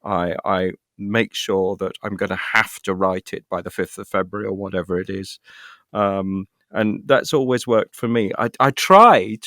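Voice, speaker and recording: British man, low voice, rambling thoughtfully. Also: moderate pace, a bit bright, no room sound, studio quality. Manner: self-deprecating, rambling, thinking aloud. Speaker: guest, actor and writer. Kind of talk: casual interview chat.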